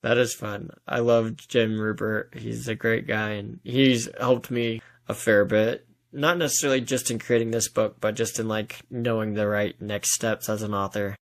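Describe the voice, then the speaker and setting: A man's voice: fairly low pitch, level tone, normal speed, creaky, hardly any pauses. A man speaking as an author guest in a casual interview, often voicing imagined thoughts as he explains.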